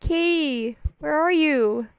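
A woman's voice: high-pitched, slow pace, vocal fry, dry recording, highs cut off, voice pushed up, heavily acted. A woman voicing an anxious young child in a roleplay story, plaintive and calling out. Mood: anxious, pleading.

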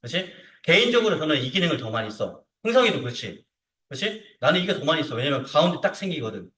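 Bright-voiced male software instructor, animated, roomy. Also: fairly high pitch, fast talker. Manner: informal, frequently seeks confirmation with short tag questions. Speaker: CAD instructor. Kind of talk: hands-on software tutorial to students. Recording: roomy echo, distant mic.

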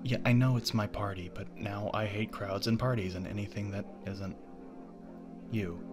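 A man voicing a smitten, possessive spirit in a young man's body, breathy and stammering, in an intimate ASMR roleplay. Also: lowish voice, fairly even intonation, normal speed, music in the background, lightly acted. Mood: smitten, nervous. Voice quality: breathy, often dropping to whispers. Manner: awestruck, stammering, eager.

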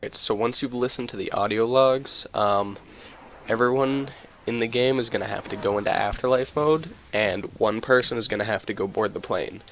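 Man, low gravelly voice, casual gaming walkthrough, faint noise behind. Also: normal speed. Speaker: gameplay video narrator. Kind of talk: casual video game walkthrough commentary.

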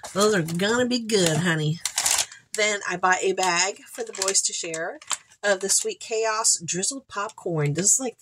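A woman's voice: fairly low pitch, a bit bright, a little hiss or hum. A woman in her forties, congested, chatting casually to camera as host of her shopping haul vlog from a room at home. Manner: chatty, rambling, upbeat asides. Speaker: haul vlogger, channel host. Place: home room with shelves, indoors.

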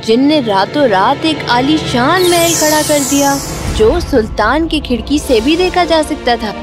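A woman's voice: high voice, expressive, over music. A woman narrator reading a scripted fairy tale for children.

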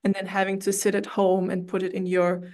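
A young woman from Austria, an English speaker, telling her story in a relaxed, chatty way as an interview guest over a video call from a room at home. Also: medium pitch, a little fast. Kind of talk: casual interview chat.

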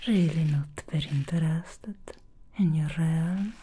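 A woman's voice: low-pitched, measured pace, warm tone, a little hiss or hum. A woman playing a sly, aloof female demon hair stylist in an ASMR roleplay, whispering and teasing, disdainful of mortals.

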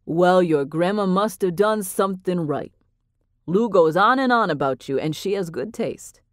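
A brash, gruff man who ribs his guests with blunt questions, as a woman puts him on. His voice sits low and plain. The recording is dry and close. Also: animated, normal speed, dry recording, lightly acted. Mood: teasing. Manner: blunt, teasing. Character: brash, gruff middle-aged man, teasing host.

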